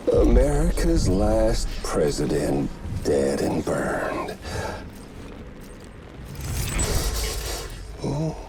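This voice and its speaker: An actor playing a menacing, gloating video game villain with a mocking, theatrical drawl. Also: male voice, on the low side, creaky, noisy background, full character voice. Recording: loud music behind.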